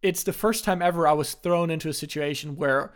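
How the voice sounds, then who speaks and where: A young man from Germany, casually telling stories as a podcast host, in a relaxed way with frequent restarts. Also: fairly high pitch, a little fast, smooth.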